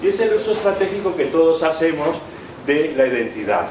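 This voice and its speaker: A man's voice: on the high side, big pitch swings, fairly quick. Guy giving a lecture, lots of "eh"s and restarts, big echoey hall, mic kinda far, some noise behind. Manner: discursive, rephrases often, many "eh" fillers. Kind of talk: academic lecture.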